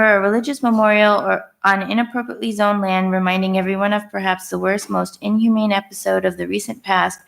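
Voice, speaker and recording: Woman with a very gravelly voice, measured and formal, reading public comment at a podium. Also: mid-range voice. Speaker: resident giving public comment. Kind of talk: reading a prepared statement. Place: town hall meeting room.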